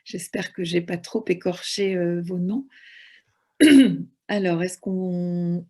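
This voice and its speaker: A woman's voice: fairly low pitch, little pitch movement, slow, a little mellow. Woman moderating a panel, hesitant fillers. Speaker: round-table moderator.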